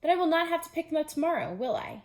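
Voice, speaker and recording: A grumbling male toad from a children's storybook , acted out by a woman reader. He complains with whiny drama and lifts his voice higher and louder than plain narration. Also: expressive, racing. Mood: hopeful bargaining.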